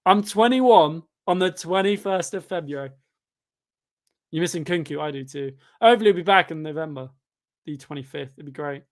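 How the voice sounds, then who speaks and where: A twenty-year-old man from Devon with a Devon accent, chatting casually and rambling on a football watchalong stream, with sudden loud outbursts at chances. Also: on the high side, normal speed. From England. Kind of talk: casual live-stream chat reacting to a match and reading chat.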